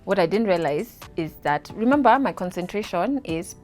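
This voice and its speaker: A Kenyan woman in her thirties tells her story in a casual interview, her voice lively and quick to laugh. She drops Swahili words into her English, with faint music playing behind her. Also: mid-range voice. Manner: animated, laughing, mixes in Swahili. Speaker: guest.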